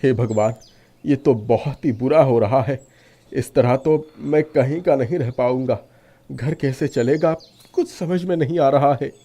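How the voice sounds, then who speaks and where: A man voicing a devout, worried shopkeeper and devoted son in a story, speaking earnestly and gently. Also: mid-range voice, unhurried. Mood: pleading, worried. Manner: earnest, soft-hearted. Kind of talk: animated moral story dialogue.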